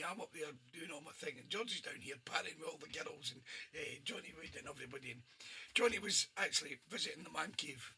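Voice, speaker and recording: A hoarse man hosting an online soul radio show, chatting casually and laughing between records. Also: fairly high pitch, sharp tone, a little hiss or hum. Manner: chatty, laughs often, friendly asides to listeners. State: hoarse, losing his voice. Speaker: radio DJ and host.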